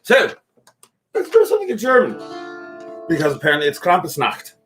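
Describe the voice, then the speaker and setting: A man's voice: high voice, huge pitch swings, a little fast, a bit bright, faint music behind. A man in his thirties co-hosting a casual drinking livestream, joking and rambling, often trailing off into mumbles. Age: 30s. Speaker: musician co-host of a drinking stream. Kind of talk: casual drunken livestream banter.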